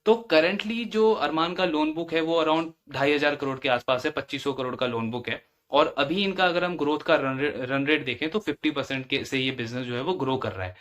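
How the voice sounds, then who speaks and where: A man's voice: fairly high pitch, moderate pace, a bit bright. Man explaining like a financial analyst. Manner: explains step by step, repeats phrases for emphasis. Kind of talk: explanatory earnings-call commentary.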